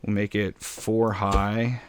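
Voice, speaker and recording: A man casually commenting while building in a game, with a slightly raspy voice, rambling and poking fun at himself. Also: lowish voice, flat delivery, takes it slowly, a little hiss or hum. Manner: rambling, self-mocking, chuckles often. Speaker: gameplay commentator.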